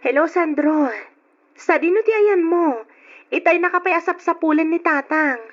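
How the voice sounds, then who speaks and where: A woman's voice: very high-pitched, normal speed, faint music behind. A woman playing an emotional young woman in love in an old radio drama, with an Ilocano Filipino accent and a plaintive delivery. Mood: tender, casual. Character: young woman, grieving partner of Sandro, devastated and tender. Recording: dull lo-fi radio recording.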